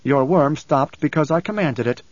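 A man voicing a small boy prophet in an audiobook, ancient beyond his years, speaking with calm, formal assurance. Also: on the high side, normal speed, no echo, dull audio, lightly acted. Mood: calm, commanding.

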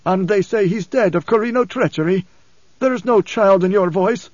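A man's voice: high-pitched, a little mellow, no room sound, lo-fi sound, voice pushed up. A man voicing a blind old desert preacher in an audiobook, imperious and troubled, with a nasal, demanding delivery. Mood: disbelieving. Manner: demanding, raised, drawn-out delivery.

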